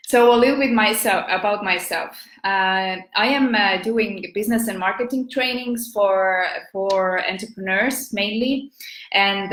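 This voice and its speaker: A woman's voice: medium pitch, a bit bright, echoey room, poor audio. A woman with an Estonian accent, a business coach hosting a live video talk in her thirties, hesitant, with many fillers and restarts.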